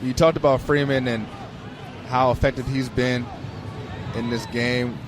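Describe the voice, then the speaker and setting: A man's voice: medium pitch, steady tone, measured pace, music in the background. A man giving live analysis as the color commentator on a soccer broadcast at an open-air stadium. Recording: noisy outdoor stadium ambience. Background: loud stadium ambience.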